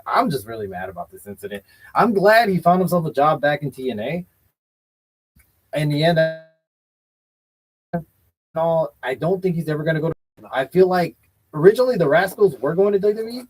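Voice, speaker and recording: A man's voice: medium pitch, slightly rough, poor audio. Blunt, opinionated male podcast host. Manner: blunt, opinionated, hedges with "I feel like". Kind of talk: casual wrestling chat show.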